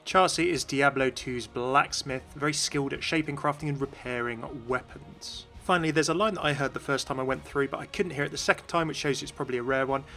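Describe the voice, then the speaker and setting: A man's voice: mid-range voice, fairly quick, few pauses, pushed and bright. A man hosting a gaming video, giving scripted explanations in narration. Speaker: video host. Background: music.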